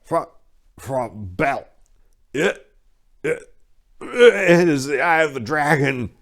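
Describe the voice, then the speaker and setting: A man voicing a shattered, terrified prophet in a dark story, gasping and stammering through tears. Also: fairly high pitch, big pitch swings, slow pace, pitched above the performer's own voice, exaggerated voice. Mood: terrified, sobbing. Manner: gasping, stammering, spitting out words. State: crying, trembling. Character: Daniel, broken, terrified prophet, sobbing master. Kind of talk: dramatic audiobook character reading.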